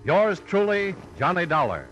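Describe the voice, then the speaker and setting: A man's voice: sits high, very animated, unhurried, loud music behind the voice. A male radio announcer reading a 1950s sponsor message with polished, emphatic salesmanship. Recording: old radio recording, faint noise.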